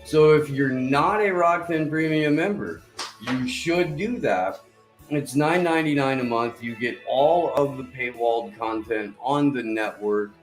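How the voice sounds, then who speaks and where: A man's voice: medium pitch, measured pace, roomy sound, soft background music, poor audio. A man hosting a podcast in casual chat, profane and rambling. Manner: profane, laid-back, rambling. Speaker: podcast host.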